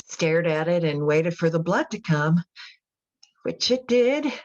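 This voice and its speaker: Radio play role: troubled, pious mother in prison, slow brittle confession, folksy phrasing, slightly dull sound. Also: on the low side, animated, a little mellow. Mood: uneasy, defensive. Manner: halting, wistful, folksy, brittle. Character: devout, unsettled imprisoned mother recounting her crime. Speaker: actor in a radio drama. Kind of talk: dramatic confessional monologue.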